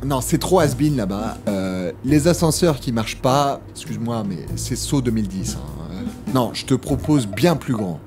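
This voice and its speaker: A man plays a grumbling, exasperated start-up worker in a comic audio play. The medium-pitched voice jumps around expressively, with stammers and hesitations. Faint music sits behind it. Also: animated, moderate pace, a little mellow, natural voice. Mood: agitated. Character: exasperated, grumbling male slacker start-up employee. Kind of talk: comedic audio drama.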